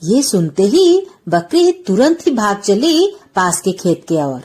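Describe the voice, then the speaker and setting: A woman's voice: medium pitch, very animated, slow pace, a little mellow. A woman narrating a scripted children's story as its storyteller.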